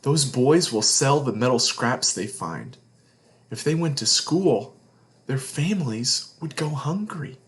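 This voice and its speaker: A man reading the part of a gentle, sad, loving storybook father, soft-spoken and hesitant. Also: medium pitch, expressive, takes it slowly. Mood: tender, sad.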